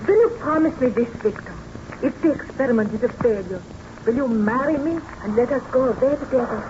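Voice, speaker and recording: Woman acting scared devoted young fiancée in an old radio play, high voice, melodramatic, muffled. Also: lively intonation, brisk, natural voice. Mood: pleading, afraid. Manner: melodramatic, formal diction. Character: frightened, devoted young fiancée, anxiously pleading. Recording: muffled, noisy vintage radio recording.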